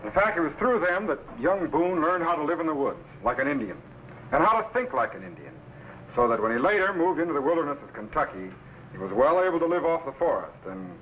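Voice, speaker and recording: A man playing an authoritative captain and mentor in a 1950s radio sci-fi show, giving crisp commands and instructive explanations. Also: fairly high pitch, big pitch swings, fairly quick. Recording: noisy muffled old radio recording.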